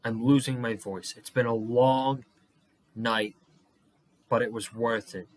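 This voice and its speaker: Young guy losing his voice after a long night, slow rambly wrestling recap, swears a lot. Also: mid-range voice. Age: young adult. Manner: excited, rambling, swears freely. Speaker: wrestling show reviewer. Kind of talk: casual solo review vlog.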